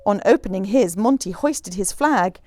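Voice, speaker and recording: A woman reading a rhyming children's picture book aloud in an expressive, rhythmic storyteller's manner. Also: medium pitch, normal speed, dry recording. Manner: animated, rhythmic rhyming delivery.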